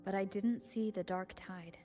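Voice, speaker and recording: A woman playing a calm, supportive young adventurer in a video game, speaking gently and directly. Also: medium pitch, a little slow, faint music behind, close to an everyday voice. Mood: reassuring. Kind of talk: video game character dialogue.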